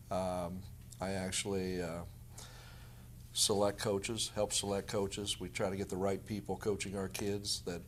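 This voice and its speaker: Low-voiced American man, a basketball coach. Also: slight background noise. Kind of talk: casual interview answers.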